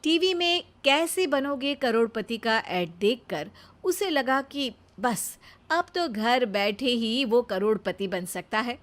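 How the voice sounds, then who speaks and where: Female cartoon-story narrator: high-pitched, animated intonation, scripted read.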